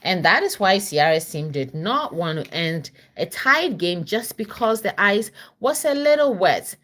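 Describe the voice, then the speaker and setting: Mother reading picture books aloud; bright, highly animated voice, simple explanations, checks in with the child. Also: a little slow.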